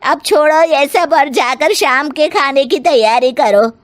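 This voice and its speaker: A woman's voice: high voice, measured pace, no room sound. A woman voicing a bossy middle-aged mother-in-law in a story, scolding and commanding. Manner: nagging, commanding.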